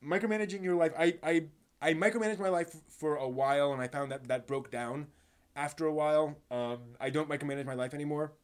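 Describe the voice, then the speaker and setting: A man hosting a casual live stream, speaking in a halting, rambling way and stumbling over his words, sounding somewhat tired. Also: on the high side, fairly even intonation, smooth. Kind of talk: casual live stream chat, answering chat questions.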